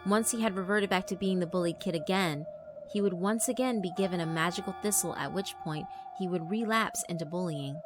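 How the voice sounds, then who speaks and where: A woman narrating a horror visual novel in a steady, measured way. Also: lowish voice, over music.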